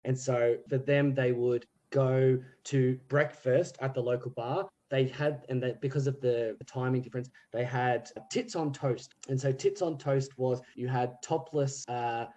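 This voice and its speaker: A man's voice: mid-range voice, steady tone, measured pace, few pauses, mellow. A man from Australia with an Australian accent, a podcast guest telling rambling stories with plenty of fillers. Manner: rambling anecdotes, many fillers. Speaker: guest, theatre group member. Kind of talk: casual podcast chat.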